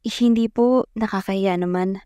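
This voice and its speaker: A woman's voice: medium pitch, fairly even intonation, moderate pace, warm tone, dry recording, clean studio sound, lightly acted. A woman playing a shy, smitten young woman narrating an audio drama, with a Filipino accent. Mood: shy, polite.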